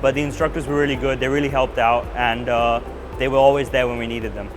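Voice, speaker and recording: A man's voice: medium pitch, fairly even intonation, fast talker, background noise. A man in his twenties from Australia, an aspiring pilot giving a hesitant interview testimonial with many uhs. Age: 20s. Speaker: pilot trainee.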